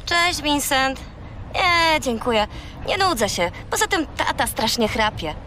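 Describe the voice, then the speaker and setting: A lively, affectionate young girl in a children's radio play. The voice is high and animated, with faint noise behind it. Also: measured pace, full character voice. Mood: teasing, affectionate. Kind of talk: children's audio drama acting.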